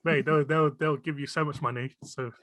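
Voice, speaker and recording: A man in his twenties hosts a relaxed podcast chat. His was Cantonese. His voice is bright and forward, and it sounds dry and close to the mic. He laughs often and stumbles over repeated words as he goes. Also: a little high, moderate pace, no room sound. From United Kingdom. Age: 20s. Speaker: podcast host. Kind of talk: casual interview chat.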